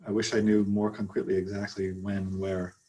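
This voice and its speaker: Husky-voiced hesitant man poet. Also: lowish voice, monotone, brisk, mellow. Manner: hesitant, many ums. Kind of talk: answering audience questions at a poetry reading.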